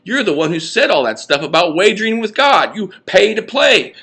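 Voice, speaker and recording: A man reads as an excitable, argumentative guy in a novel. He rambles and trips over words emphatically, with a bright, pressing tone and very animated pitch. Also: normal speed, husky, full character voice. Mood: agitated, alarmed. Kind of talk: dramatized novel reading.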